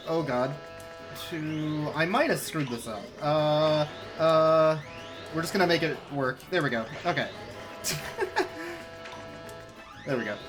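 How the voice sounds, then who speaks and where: Joking male speedrunner over game music. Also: fairly high pitch. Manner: casual, joking and sarcastic. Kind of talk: live speedrun commentary. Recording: game music playing behind.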